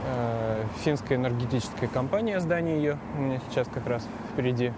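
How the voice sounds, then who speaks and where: A man's voice: mid-range voice, a little fast. A man hosting a podcast, talking in a rambling, hesitant way as he rides a bicycle through city streets. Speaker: podcast host. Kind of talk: casual monologue while cycling outdoors. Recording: loud street traffic noise. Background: traffic and city sounds.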